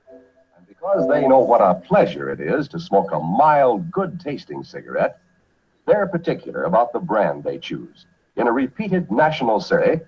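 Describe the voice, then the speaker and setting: Male vintage ad announcer, husky, very lively delivery, dull lo-fi archival sound. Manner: smooth, upbeat salesman's pitch. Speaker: announcer in an old cigarette ad. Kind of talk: 1950s radio ad announcing.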